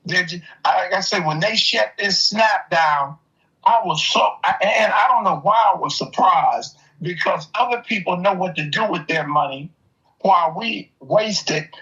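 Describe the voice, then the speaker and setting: A man's voice: high voice, measured pace, pushed and bright. An older man in casual, opinionated conversation, telling stories in an emphatic, streetwise way. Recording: dull, lo-fi audio.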